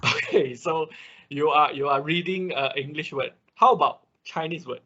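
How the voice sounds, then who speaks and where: A man with a bright, forceful voice teaches an online class in a casual, step-by-step way. He keeps adding little confirming tags as he explains. The sound is dull, lo-fi call audio. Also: fairly high pitch, normal speed. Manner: frequent confirming tags like "right" and "okay". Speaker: instructor leading an online robotics class. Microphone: video call.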